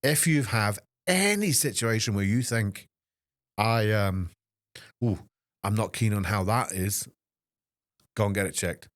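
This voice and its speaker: A Scottish man in his fifties, a fitness trainer hosting a solo podcast, speaking in an emotional, halting way with many restarts. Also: lowish voice, animated, no room sound, studio quality. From Scotland. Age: 50s. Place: small podcast studio.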